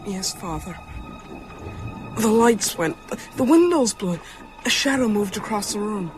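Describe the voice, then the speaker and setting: A haunted, defiant boy, speaks earnestly in a light, youthful pitch. It is radio horror drama, with music clearly underneath. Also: very animated, a little slow. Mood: shaken, haunted.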